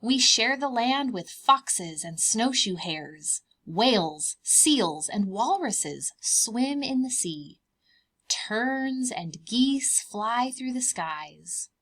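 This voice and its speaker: A woman voicing a gentle, reassuring mother polar bear in a storybook, patient and soothing. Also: fairly high pitch, expressive, very slow, slightly rough, full character voice. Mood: gentle reassurance.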